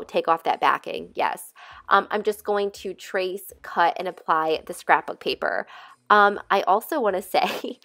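A woman's voice: lowish voice, fairly bright tone, no echo. A woman with a nasal voice, getting over a cold, talking through a craft tutorial in a chatty, rambling voice-over. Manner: chatty, rambling, friendly asides. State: recovering from a cold. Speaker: DIY craft channel host.

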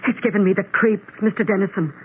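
An actress playing a worried, talkative housekeeper in a radio drama, hesitant and stammering, with homely turns of phrase. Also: female voice, mid-range voice, fast, close to an everyday voice. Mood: uneasy, insistent. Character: worried, talkative housekeeper, loyal and easily spooked. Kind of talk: 1970s radio drama acting. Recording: tinny, noisy old radio broadcast.

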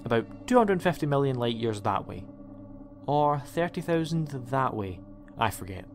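Casting: a man as eccentric alien adventurer, mid-pitched, breezy and flippant, audio drama over light music. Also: very animated, normal speed.

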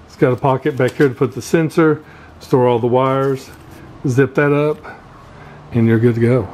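A man giving a casual, unscripted hands-on gear walkthrough as a vlogger, pausing often mid-phrase. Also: mid-range voice. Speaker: vlogger showing fishing gear. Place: indoor garage workshop. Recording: steady background noise, room ambience.

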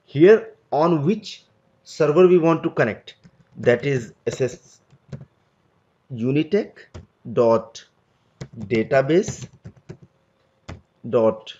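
A man talking through a screen-recorded software lesson at a middle pitch. He explains each click step by step in a loose, unpolished way. The audio is a bit dull and lo-fi. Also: lively intonation, very slow, pauses often. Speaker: tutorial instructor.

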